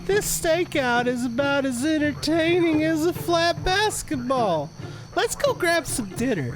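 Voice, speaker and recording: A woman's voice: high voice, big pitch swings, unhurried, rough recording. A woman playing a childish, joking detective sidekick in an audio drama, with a goofy, drawling manner. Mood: joking, whining. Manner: jokey, drawling, giggly. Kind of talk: audio drama comic acting.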